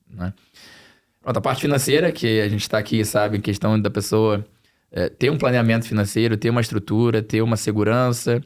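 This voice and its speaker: A man's voice: lowish voice, a little mellow. A man with a Brazilian Portuguese accent slightly mixed with European Portuguese, speaking conversationally as a podcast guest and repeating phrases for emphasis. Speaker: podcast guest, financial planning expert.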